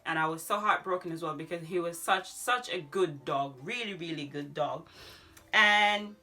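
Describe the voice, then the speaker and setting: A woman in her thirties with a Jamaican accent, chatting casually to camera in an animated way as she answers questions. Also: on the low side, normal speed. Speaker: YouTube vlogger. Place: small furnished room at home.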